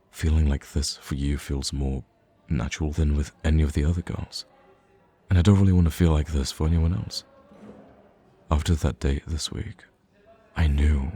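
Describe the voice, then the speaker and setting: A man's voice: very deep voice, warm tone, breathy edge, full character voice. A man playing a smitten young best friend in an intimate romantic ASMR roleplay, speaking hesitantly and tenderly with some playful teasing.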